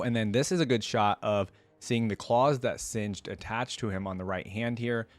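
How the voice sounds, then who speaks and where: A man's voice: on the low side, dry recording. A man hosting a reaction video, giving casual, enthusiastic commentary with rambling asides.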